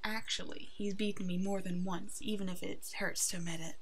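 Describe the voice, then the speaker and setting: A woman voicing a brave, protective werewolf in an ASMR roleplay, soothing and halting, with a soft, hushed delivery. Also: medium pitch, steady tone, a little hiss or hum, close to an everyday voice. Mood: friendly chatter.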